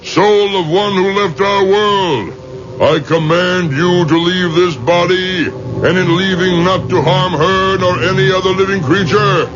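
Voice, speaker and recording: An actor playing a solemn rabbi exorcist with deliberate, incantatory speech in a 1970s radio drama. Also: male voice, sits high, fairly even intonation, over music. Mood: commanding, solemn. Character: weary, solemn rabbi exorcist, commanding with spirits. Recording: dull, noisy 1970s radio broadcast.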